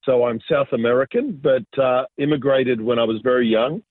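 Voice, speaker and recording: A man in his forties recounts his life in a halting, reflective way, with many pauses and fillers. The recording is dry and close, with the narrow, thin sound of a phone line. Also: mid-range voice, lively intonation, no room sound. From Argentina, raised in Sydney. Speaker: guest, business pastor. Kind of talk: casual interview storytelling.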